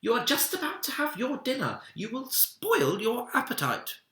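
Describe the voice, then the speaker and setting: A man's voice: very high voice, huge pitch swings, normal speed, pushed and bright, echoey room, pitched above the performer's own voice, heavily acted. A man voicing a firm, exasperated mother telling off her young son in a storybook reading. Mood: firm, scolding. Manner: emphatic, admonishing.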